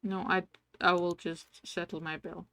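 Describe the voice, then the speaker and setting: A woman's voice: on the low side, flat delivery, a little slow, a bit bright, no echo. A woman streaming a game, chatting casually and reading its text aloud between her own asides. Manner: coos affectionately at game pets.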